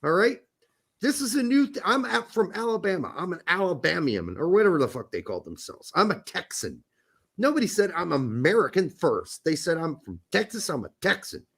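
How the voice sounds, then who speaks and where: A man with a high, very animated voice rants through a sports debate. He swears freely and presses his points hard. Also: a little fast, gravelly, breathy edge. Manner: profane, ranting, emphatic. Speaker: podcast co-host.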